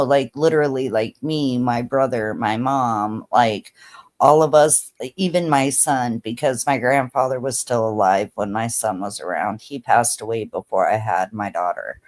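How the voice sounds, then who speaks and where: A woman's voice: rumbling low, unhurried. A woman in her thirties hosting a casual livestream chat, laid-back and chatty with many fillers. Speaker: livestream host.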